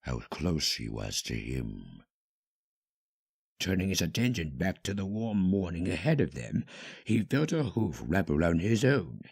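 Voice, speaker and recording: A man's voice: sits low, darkish tone, slightly rough. A man reading a fan-fiction story as a dramatic scripted narrator.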